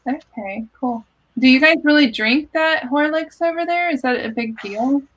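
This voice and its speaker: A woman hosting a casual livestream from her home office, chatty and quick to laugh. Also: high voice, fairly bright tone. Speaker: livestream host. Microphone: video call. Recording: dull lo-fi video call audio.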